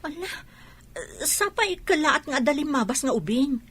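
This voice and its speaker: A woman's voice: sits high, expressive, a little slow, fairly bright tone. A woman playing an earnest, defiant young wife protesting her innocence in a radio drama, with a Filipino accent. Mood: anxious, protesting.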